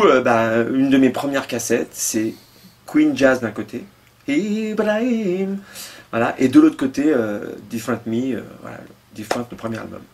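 Casting: middle-aged French guitarist, mid-pitched, loose rambling talk full of fillers, home studio feel. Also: male voice. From France. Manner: rambling, many fillers and trailing asides. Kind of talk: casual interview answers. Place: home music studio, indoors.

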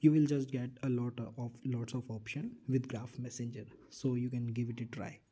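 A man's voice: mid-range voice, level tone, normal speed, dark tone, slightly rough. A man hosting a casual app tutorial, explaining things plainly step by step and repeating himself. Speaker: tutorial host.

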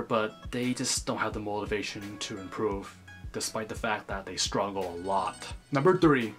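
A man's voice: medium pitch, a bit bright. A young man in his twenties from the United States, talking earnestly straight to camera in a small bedroom, close to the camera. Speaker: vlogger. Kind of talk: scripted vlog commentary.

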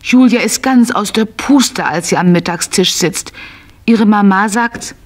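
A woman's voice: mid-range voice, a little slow. A woman narrating a scripted children's story, telling it as if to small children.

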